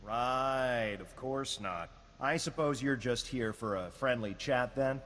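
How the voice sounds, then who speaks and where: A man voicing a smug, cautious government agent in a video game, measured, sardonic and understated. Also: mid-range voice, fairly bright tone. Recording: long hall reverb, background noise.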